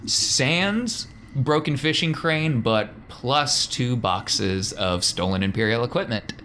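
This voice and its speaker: A man's voice: medium pitch, expressive, takes it slowly, fairly bright tone. A man acting as game master, improvising descriptive narration for a tabletop role-playing game in a conversational way. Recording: background noise with room ambience.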